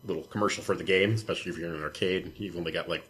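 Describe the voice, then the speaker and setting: A man co-hosting a casual podcast about video games, explaining things in a halting way. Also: low voice, fairly even intonation, fairly bright tone, slightly rough. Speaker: podcast co-host.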